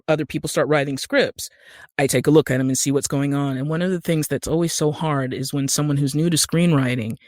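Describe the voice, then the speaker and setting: Higher-pitched American man explaining conversationally. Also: dry recording, clean studio sound. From Utah, USA. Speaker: guest, actor and screenwriter.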